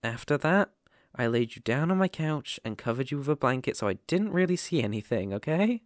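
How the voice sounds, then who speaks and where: A British man playing a gentle, protective lone werewolf in an ASMR roleplay, speaking haltingly and reassuringly into a close microphone. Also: mid-range voice, very animated, dry recording, close to an everyday voice. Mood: flustered, reassuring. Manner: soft-hearted, reassuring, halting and pausing. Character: gentle, protective male lone werewolf living in a forest cabin.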